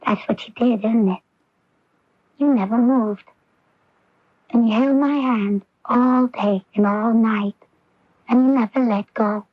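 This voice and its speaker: An actress playing an earnest, intense young daughter in a 1980s radio drama, with deliberate, theatrical phrasing. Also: female voice, on the high side, fairly even intonation, no echo. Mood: wondering, intense. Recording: dull, dry AM radio recording.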